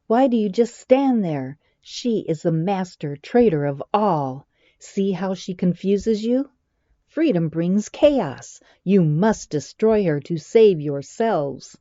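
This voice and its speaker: Woman doing a shrill, raging tyrant, loud and dry, slightly dull sound. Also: animated, slow, few pauses, no echo. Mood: furious. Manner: shrill, furious commands. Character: jealous domineering male tyrant, shrieking.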